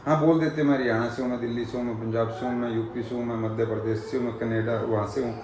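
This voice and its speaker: A man vlogging in casual chat with a friend, talking in an animated, emphatic way. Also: mid-range voice, fast talker, roomy sound, background noise. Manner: animated, emphatic, talks over others.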